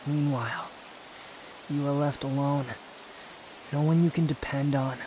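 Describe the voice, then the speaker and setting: A man playing an aloof, proud young wanderer in an intimate roleplay audio drama, guarded yet secretly fond, with a brooding, haughty delivery full of pauses. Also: fairly high pitch, steady tone, noisy background, lightly acted. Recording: outdoor nature ambience with insects. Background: insect and nature ambience.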